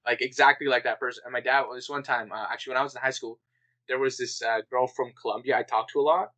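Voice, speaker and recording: A young man chatting on a podcast with a bright, forceful voice. He rambles casually, leans on "like" as filler and laughs often. His tone stays loose and friendly-paced. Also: mid-range voice, steady tone, fast. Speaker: podcast co-host. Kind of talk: casual chat.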